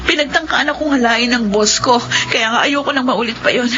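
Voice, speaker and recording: A woman's voice: on the high side, fairly quick, noisy background, close to an everyday voice. A woman acting as a virtuous, earnest young wife in a Filipino radio drama, with an emotional, melodramatic delivery. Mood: earnest, relieved. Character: distressed young wife, virtuous, tearful, earnest office employee. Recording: muffled radio drama audio, music behind.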